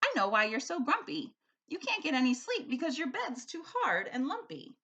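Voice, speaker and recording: A brave little girl princess from a children's tale, voiced by a woman. She sounds sweet and kind, pitched high and childlike. Her melody moves up and down freely. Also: sing-song, moderate pace, bright, forceful tone, lo-fi sound, exaggerated voice. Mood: confident. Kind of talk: storybook character voice in a read-aloud.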